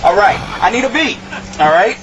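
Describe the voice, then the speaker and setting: A man's voice: high voice, lively intonation, moderate pace. A man working as a flight attendant, addressing passengers with announcements that slip into rhymed rap, recorded inside an airliner cabin. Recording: muffled clip in a noisy aircraft cabin with passengers.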